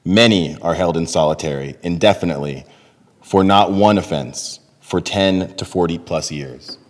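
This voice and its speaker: A middle-aged man giving a measured, earnest dramatic reading of testimony at a lectern. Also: sits low. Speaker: reader in a readers theater. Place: large temple sanctuary, at a lectern. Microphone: podium microphone on a boom stand. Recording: long hall reverb.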